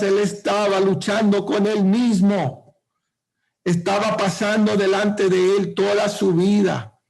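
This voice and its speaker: A man preaching a sermon to a congregation, with impassioned, drawn-out emphasis. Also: sits high, gravelly. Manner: speaks directly to the congregation.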